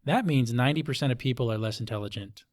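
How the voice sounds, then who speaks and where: A man's voice: medium pitch, fast talker, darkish tone. A man with an American accent chatting casually as an interview guest, in blunt, colloquial language. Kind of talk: casual conversation.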